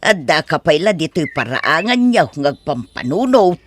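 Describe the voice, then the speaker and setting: Man playing higher-voiced, chummy Filipino village neighbour. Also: sing-song, a little slow, a bit bright, full character voice. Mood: reassuring. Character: friendly, encouraging village neighbour, father's old pal. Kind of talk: Ilocano audio drama acting. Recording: faint background noise.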